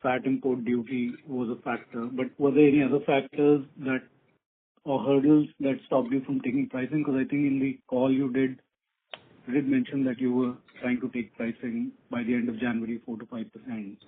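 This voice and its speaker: A man's voice: mid-range voice, flat delivery, normal speed, slight background noise. A man calling in as an analyst to ask questions on an earnings call. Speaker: analyst caller. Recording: muffled narrow phone line.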